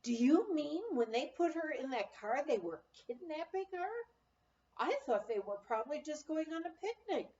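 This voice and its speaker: A woman's voice: high-pitched, animated, moderate pace, mellow, echoey room, muffled recording, pitched above the performer's own voice. A woman voicing an earnest, worried memoir heroine with emphatic, exclaiming speech. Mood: alarmed.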